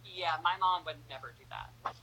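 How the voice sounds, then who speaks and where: A woman's voice: lowish voice, moderate pace, background noise. A young woman, a guest in a casual interview, who talks freely and fills her speech with "like" and "you know". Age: young adult. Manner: chatty, fillers like "like" and "you know", laughs easily.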